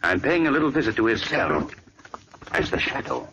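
An actor playing Lamont, a confident, composed gentleman sleuth with an assured manner, in 1940s radio acting. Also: mid-range voice, sing-song, moderate pace, lightly acted. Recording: dull old radio recording with hiss.

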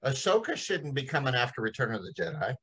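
A man's voice: medium pitch, very animated, fast talker, a bit bright, husky. A man in his forties talking casually as a podcast co-host on a video call. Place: indoors in a small home room.

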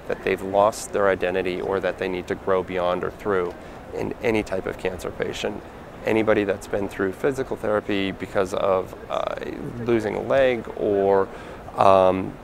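A man in his forties speaking earnestly in an interview, pausing with fillers, recorded on a handheld mic in a large convention hall. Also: low voice, vocal fry, background noise. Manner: earnest, plain-spoken, halting with fillers. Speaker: foundation founder. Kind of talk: interview answers. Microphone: handheld mic held by interviewer.

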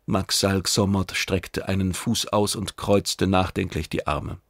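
A man's voice: deep voice, fairly even intonation, a little slow, fairly bright tone, no room sound, clean studio sound. A man reading a science fiction audiobook as a dramatic scripted narration.

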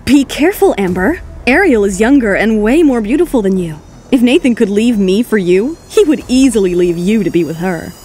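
A woman's voice: medium pitch, lively intonation, moderate pace, a little mellow, soft background music, voice pushed down, natural voice. A woman voicing a composed, defiant, cutting model in an audio drama. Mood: cool, cutting.

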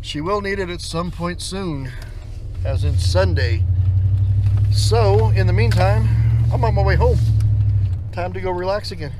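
A man's voice: high voice, unhurried, long pauses. An American man in his thirties chatting casually and folksily to the camera as he drives his truck. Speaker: vlogger. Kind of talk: casual chat to camera while driving. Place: inside a pickup truck cab while driving. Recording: noisy car interior, engine and road noise.

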